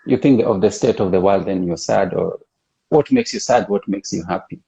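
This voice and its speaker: A man interviewing someone in a casual, conversational way, with hesitant, unhurried phrasing. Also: deep voice, gravelly, dark tone, breathy edge, dull audio. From East and West Africa. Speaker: interviewer, host.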